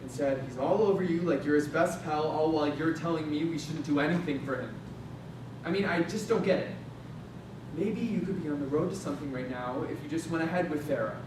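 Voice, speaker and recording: A man's voice: fairly quick, close to an everyday voice. A young man acting a brash, resentful space maintenance worker in a staged drama, slangy, sarcastic and confrontational. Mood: frustrated, pleading. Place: stage or hall at a staged reading. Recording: very echoey room, distant mic, noisy rumble.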